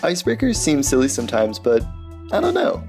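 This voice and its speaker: Brief: male podcast host, gravelly and upbeat, guitar music running underneath. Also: mid-range voice, huge pitch swings, fairly quick, a little mellow. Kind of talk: hospital podcast hosting and interviewing.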